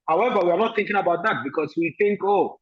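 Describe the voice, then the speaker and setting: A man from Ghana, a guest answering interview questions in a thoughtful way, pausing often with fillers. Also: sits high, muffled recording.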